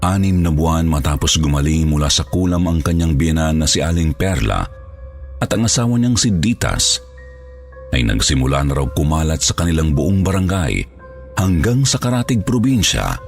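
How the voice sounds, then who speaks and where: Casting: male horror narrator, extremely low gravelly voice, scripted storytelling. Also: big pitch swings, normal speed, faint music behind.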